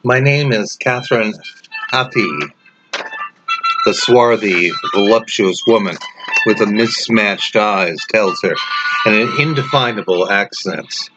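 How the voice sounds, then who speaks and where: Low-voiced male story narrator over music. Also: takes it slowly. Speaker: author reading own story. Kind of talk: dramatic scripted audiobook narration. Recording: dull, lo-fi narration track.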